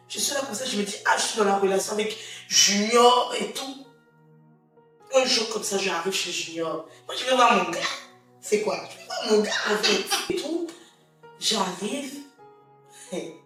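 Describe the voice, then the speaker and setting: A woman co-hosting a casual chat podcast, telling stories animatedly with laughter. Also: medium pitch. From Ivory Coast heritage. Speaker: podcast co-host. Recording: echoey room from far off, soft music.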